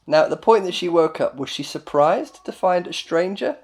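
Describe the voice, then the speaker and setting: A man's voice: high-pitched, animated, normal speed, slightly rough. A man speaking as a father in a casual bedtime chat with his young child, questioning and patiently explaining with mock concern.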